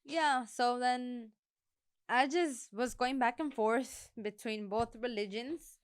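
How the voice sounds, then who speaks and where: Young woman guest on a podcast, kinda high voice, relaxed and easygoing. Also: takes it slowly, smooth. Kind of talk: casual chat.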